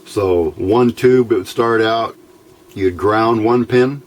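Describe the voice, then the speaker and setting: A man giving an informal hands-on electronics tutorial, talking haltingly as he thinks aloud. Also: fairly low pitch. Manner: halting, thinking aloud while handling parts. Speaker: electronics hobbyist presenter.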